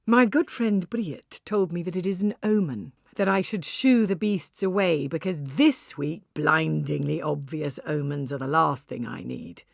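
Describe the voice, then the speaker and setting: Audiobook lead: a wry, weary ex-police cafe owner, low-pitched and breathy, conversational first-person storytelling in a dry, close recording. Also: female voice, unhurried, hardly any pauses, dry recording, full character voice. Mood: wry, reflective. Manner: expressive, conversational, storytelling with hushed asides. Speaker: audiobook narrator.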